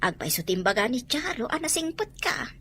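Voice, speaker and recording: A woman's voice: a little high, big pitch swings, a little fast, pushed and bright, breathy edge, exaggerated voice. A woman playing a nosy, teasing, inquisitive female relative in an audio comedy drama.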